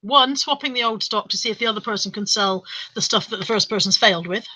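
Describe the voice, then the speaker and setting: A woman's voice: medium pitch, fast talker, bright, forceful tone, no echo, rough recording. A British woman chatting casually on a live stream, reading out viewer comments and rambling in reply.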